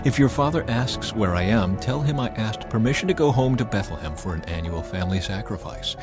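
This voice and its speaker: Man voicing a desperate young warrior, urgent, imploring, lowish voice, soft music under it. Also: expressive, fairly quick, lightly acted. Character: young adult male warrior on the run, desperate.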